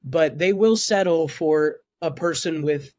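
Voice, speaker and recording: Young guy with a kinda high voice, stumbles and repeats himself, dry close sound. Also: darkish tone, no room sound. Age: 20s. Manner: halting, repeats words, chuckles often. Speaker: podcast guest, actor. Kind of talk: casual podcast chat.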